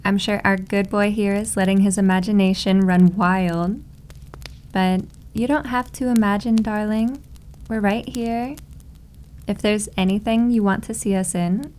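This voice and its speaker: Woman playing a slow-talking, cooing yandere maid. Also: mid-range voice, a little mellow, lightly acted. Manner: cooing, sugary, drawn-out endearments. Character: doting, possessive, teasing yandere maid girlfriend. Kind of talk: slow ASMR roleplay acting.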